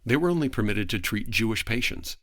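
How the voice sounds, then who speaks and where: A man speaking as a podcast guest, giving a prepared, articulate explanation in formal, measured phrasing. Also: lowish voice, expressive, fairly bright tone, dry recording, clean studio sound.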